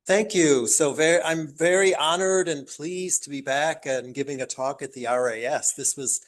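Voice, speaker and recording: A man's voice: fairly high pitch, moderate pace. An older American man giving a chatty academic lecture to a live audience, with joking, self-deprecating asides. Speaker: guest lecturer. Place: lecture room.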